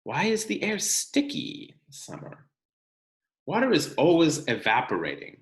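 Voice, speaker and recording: An American man reads a children's picture book aloud with expressive, deliberate storyteller phrasing and the occasional casual aside. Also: a little high. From California, United States. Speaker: read-aloud host, teacher reading to children. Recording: echoey room.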